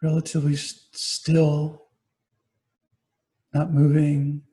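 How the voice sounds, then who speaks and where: A man guiding a meditation as a teacher, giving gentle, unhurried instructions with pauses between phrases. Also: a little high, completely flat delivery. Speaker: meditation teacher, Buddhist monastic. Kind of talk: guided body scan meditation.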